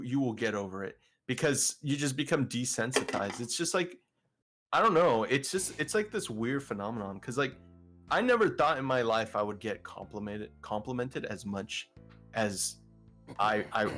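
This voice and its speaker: A man with a deeper voice, guesting on an interview and talking in a casual, rambling way with many restarts. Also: animated, normal speed, fairly bright tone, faint music behind. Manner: rambling, filler-heavy, frequent restarts. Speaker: vtuber guest.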